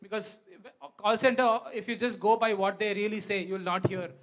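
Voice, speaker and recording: A man with a distinctly high voice and an Indian accent speaks as a guest at a talk. He explains his points off the cuff, pausing and rephrasing as he goes. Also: steady tone, fairly bright tone. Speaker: guest speaker, company founder. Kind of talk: unscripted question-and-answer on stage.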